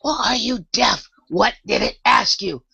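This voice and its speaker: A woman voicing a very old, grumpy male frog servant with a hoarse, slow drawl, in a storybook reading. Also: a little high, animated, sharp tone, no room sound, voice pushed up, heavily acted. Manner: muttering, ungrammatical.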